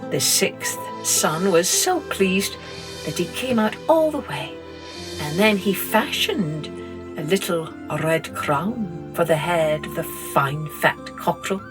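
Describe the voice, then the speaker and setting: A middle-aged woman, reading a children's story gently and expressively as a podcast host. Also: on the low side, over music. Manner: gentle, slow and expressive read-aloud.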